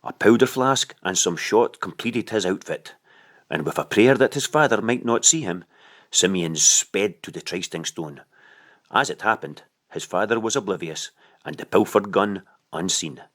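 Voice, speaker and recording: A male audiobook narrator reads literary prose expressively. His voice sits low, yet the intonation moves up and down in an animated way. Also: normal speed.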